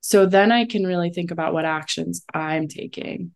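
Woman, astrologer guest, lowish pitch, reflective and casual, lots of "like". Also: normal speed, vocal fry. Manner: reflective, often says "like". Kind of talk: casual conversational interview.

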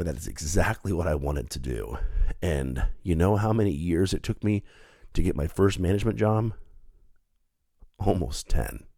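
A man's voice: very deep voice, creaky, mellow, no echo, clean studio sound. A man hosting his own podcast, stuffed up with a head cold, talking in an earnest, reflective and conversational way. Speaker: podcast host. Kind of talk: solo podcast monologue.

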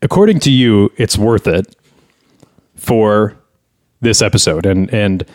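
A man's voice: on the low side, slow, darkish tone. A man hosting a podcast in casual comedic banter, sounding grumbling and exasperated. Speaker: podcast host.

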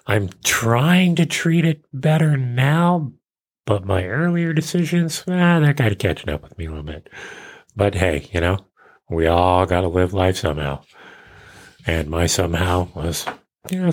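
A man around fifty with a fairly low, husky voice, chatting casually on his own as a host. He rambles loosely and jokes at his own expense. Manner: self-deprecating, joking. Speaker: podcast host. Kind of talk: casual rambling solo chat.

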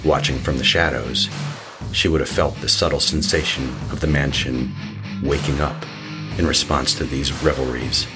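A man's voice: very deep voice, steady tone, moderate pace, over loud music. A man performing brooding, portentous scripted narration for a horror audio drama. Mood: ominous. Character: ominous male horror story narrator.

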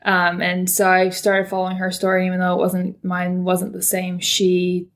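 A young woman from California talks casually as a podcast guest, rambling through her story with lots of fillers and self-corrections. Her voice sits low and stays fairly flat. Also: moderate pace. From California, United States. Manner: chatty, rambling, with many fillers and restarts. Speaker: podcast guest, PhD student. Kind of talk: casual interview chat.

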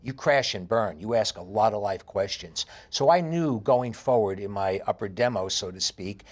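A man in his fifties with a heavy New York accent, telling his life story in an interview with animated emphasis. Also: lowish voice, moderate pace. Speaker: interview guest. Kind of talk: candid conversational interview.

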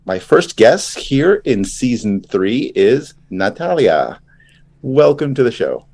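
A man's voice: mid-range voice, big pitch swings, takes it slowly, a little mellow. A man hosting a podcast, opening with a scripted, upbeat introduction and asking interview questions. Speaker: podcast host.

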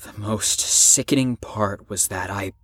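A young man acting a troubled, self-loathing teenage ex-addict in an emotional audio drama monologue, halting and profane. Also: fairly low pitch, a bit bright, breathy edge, no room sound. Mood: sickened, confessing. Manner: emotional, halting, profane, swings between bitter and impassioned. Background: music under the voice.

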